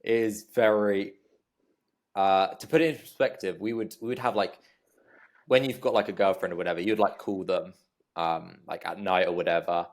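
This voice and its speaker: Low-pitched Englishman, casual and hesitant. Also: fairly even intonation, normal speed. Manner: hesitant, repeats "like". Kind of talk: casual banter chat.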